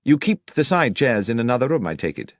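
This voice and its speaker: A man voicing a shrewd, composed gentleman detective in an audiobook, formal, assured and incisive. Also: mid-range voice, very animated, brisk, no echo, clean studio sound, lightly acted. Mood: politely probing. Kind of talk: audiobook character dialogue.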